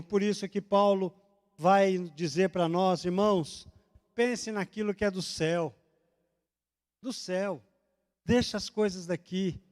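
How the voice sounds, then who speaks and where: A pastor from Brazil preaching a Sunday evening sermon, moving between loud emphatic declarations and quick, low confiding asides, often asking the congregation a question and answering it himself. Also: male voice. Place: church sanctuary.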